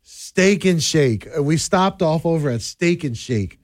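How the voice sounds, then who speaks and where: Soft-spoken man chatting on local radio. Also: a little high, lively intonation, measured pace, dry recording. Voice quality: softer, less projecting voice. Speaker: radio talk show host. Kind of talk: casual radio chat with listener messages. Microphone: close studio microphone.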